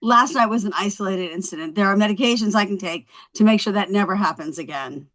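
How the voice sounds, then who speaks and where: Bright-voiced flustered housewife read by a woman. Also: medium pitch, fast, close to an everyday voice. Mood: defensive. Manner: incredulous, protesting. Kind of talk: table read of a TV script.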